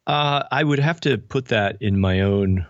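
An American man speaking as an interview guest, explaining things in a thoughtful, measured, conversational way. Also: lowish voice, no echo, highs cut off. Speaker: podcast guest, spiritual director and pastor.

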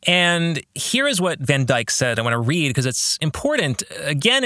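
A man with a bright, forward voice talks through a book as a podcast host and pastor. His pitch moves widely as he stresses key words, and he takes his time with earnest pauses. The sound is dry and close. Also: no echo. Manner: earnest, emphatic, deliberate pauses. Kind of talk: reflective book discussion with read-out passages.

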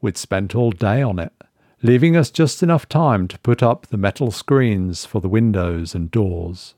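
Slow, low-voiced man narrating horror. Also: animated, dark tone, dry recording, clean studio sound. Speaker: story reader and narrator. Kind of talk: scripted horror story narration.

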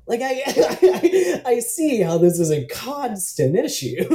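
A woman's voice: fairly high pitch, sing-song, extremely slow, mellow. A woman guest on a podcast, talking candidly and bluntly, with casual swearing and easy laughter. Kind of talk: casual interview chat. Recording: roomy echo.

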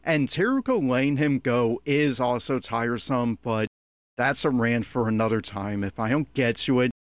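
A man's voice: medium pitch, lively intonation, moderate pace, no room sound. Exasperated man giving dry, close commentary. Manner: exasperated, critical, opinionated. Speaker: commentator on an anime episode. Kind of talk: unscripted running audio commentary.

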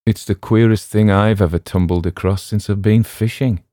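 A man voicing a blunt but good-hearted sailor in rough colloquial speech for a storybook reading. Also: fairly low pitch, steady tone, normal speed, dark tone, dry recording, studio quality, pitched above the performer's own voice, close to an everyday voice. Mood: puzzled. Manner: rough colloquial speech, calls out over the rail.

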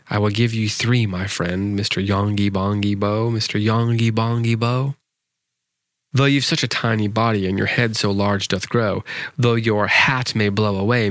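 A man's voice: on the low side, a bit bright, no echo. A man reading as a plaintive, regretful storybook lady. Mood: gently refusing. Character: sad, gentle married lady politely refusing a suitor. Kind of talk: storybook narrative poem reading.

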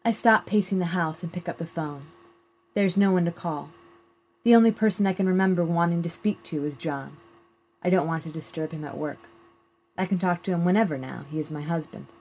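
Woman reading fiction, lowish voice, noisy recording. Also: a little fast, a little mellow. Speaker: short story reader. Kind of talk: scripted literary narration, first-person short story read aloud. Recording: clear background noise.

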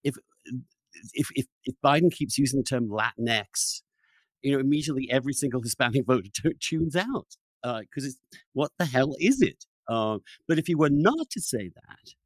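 A man hosts a conversational podcast in a mid-range voice. He argues his opinions in halting runs, repeating and restarting phrases as he goes. The sound is close and dry. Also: medium pitch, animated, dry recording. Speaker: podcast host. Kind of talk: conversational interview with opinionated commentary.

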